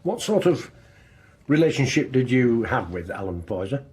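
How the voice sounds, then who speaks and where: A man's voice: medium pitch, sing-song, normal speed, a little mellow, slightly rough. An actor playing an easygoing, wry male detective constable in a radio drama, informal and dry. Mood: gentle. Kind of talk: naturalistic radio drama acting.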